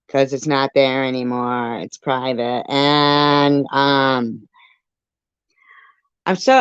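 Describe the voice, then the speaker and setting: Very deep-voiced woman, unhurried. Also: clear, resonant tone, no echo. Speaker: live stream host. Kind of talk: casual live stream commentary over played audio. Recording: muffled lo-fi stream audio.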